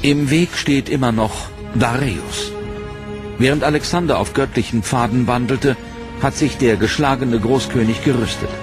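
A man reads a history documentary narration with a measured, serious delivery. His voice sits at an ordinary middle pitch, and a prominent dramatic music bed runs underneath him throughout.

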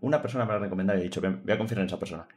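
A man's voice: low-pitched, racing, darkish tone. A man in his twenties with a Spanish accent from Spain, giving casual, slangy, exclamatory reaction commentary. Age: 20s. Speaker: reaction video host. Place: small indoor room, seated at a desk. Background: hip hop music playing.

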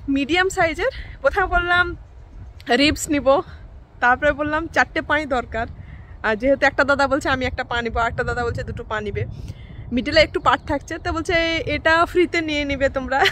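A woman's voice: sits high, a bit bright, slight background noise. A woman from India, narrating a casual vlog in a chatty, rambling way with hesitant fillers. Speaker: vlogger.

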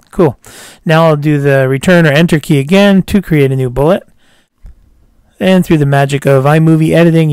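Man narrating a steady software screencast. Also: on the high side, clean tone, no echo. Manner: steady step-by-step explaining, says "cool" between steps. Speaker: software tutorial instructor.

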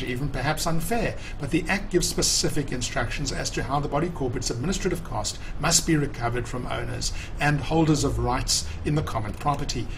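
A man in his sixties giving a measured, formal, explanatory tutorial as a professor, reading from notes and commenting at a desk. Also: medium pitch, a little hiss or hum, rough recording.

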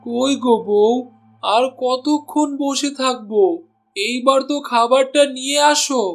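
Man as meek village butcher, cartoon, high voice, earnest, pleading, faint music. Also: a little slow. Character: honest, hard-pressed village butcher and meek husband. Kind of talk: Bengali cartoon voice acting.

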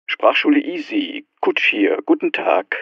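Man as a gravelly language school clerk. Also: fairly high pitch, big pitch swings, a little slow, fairly bright tone, no echo, muffled recording, full character voice. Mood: friendly. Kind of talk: scripted phone dialogue for learners.

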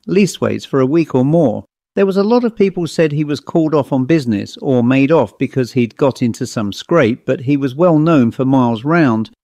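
A man's voice: mid-range voice, warm tone, no room sound, clean studio sound, close to an everyday voice. A man voicing an old country servant who speaks in broad, ungrammatical rural dialect. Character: old rustic male servant telling a grim tale.

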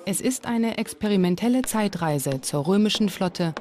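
A woman's voice: mid-range voice, expressive, moderate pace, warm tone, slight background noise. A woman reading scripted documentary narration. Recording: music under the voice. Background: background music.

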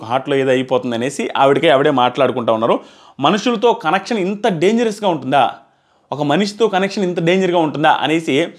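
A man gives a casual spoken review to camera, explaining and repeating his points for emphasis. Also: on the high side. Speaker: reality show reviewer. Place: small plain indoor room. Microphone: clip-on lapel mic.

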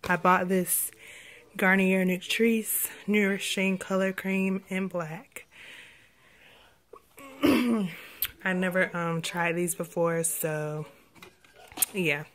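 A woman in her twenties with a hoarse voice she is losing, chatting casually and rambling with lots of fillers as she vlogs to a phone camera at arm's length. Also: on the low side, steady tone, extremely slow, long pauses, a bit bright. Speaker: vlogger. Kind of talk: casual vlog chat. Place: parked car, then a bedroom.

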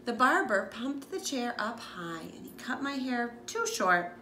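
Woman, expressive storybook reader, swooping intonation, echoey living room, noisy background. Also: a little high, a little slow. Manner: expressive, with asides to listeners. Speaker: school librarian reading aloud. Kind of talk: animated children's picture-book read-aloud. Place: living room, on a couch indoors.

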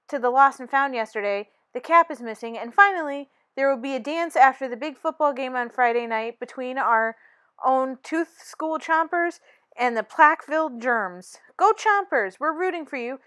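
A woman's voice: high-pitched, normal speed, a bit bright, no room sound, studio quality. A woman voicing a formal yet peppy principal of a school for teeth, reading out announcements in a storybook. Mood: official, peppy.

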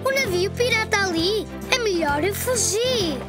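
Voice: extremely high pitch, lively intonation, measured pace, over loud music. An actor playing a cheerful, eager four-year-old boy in a children's cartoon, with a European Portuguese accent. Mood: playful, brave.

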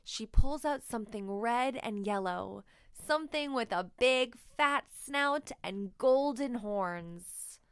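A woman reading a children's story aloud expressively, with deliberate, emphatic phrasing. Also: fairly high pitch, animated, smooth, dry recording. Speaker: children's book narrator.

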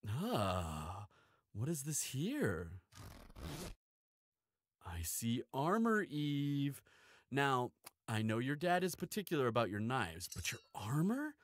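A man's voice: mid-range voice, very animated, slow pace, long pauses. A man playing a cheeky, shrewd weaponsmith in an audio roleplay, with a playful, theatrical delivery. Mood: suspicious, bargaining. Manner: playful, mock-wounded, dramatic. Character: cheeky, theatrical male weaponsmith and shrewd old family friend. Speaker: guest voice actor in audio roleplay. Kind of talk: scripted audio drama acting.

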